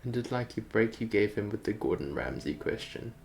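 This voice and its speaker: A man's voice: on the low side, lively intonation, a little fast, gravelly, slight background noise, low-quality recording. A man chatting casually on a livestream while he works, rambling and thinking aloud as a game developer. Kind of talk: casual chat while working on stream. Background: music playing behind the voice.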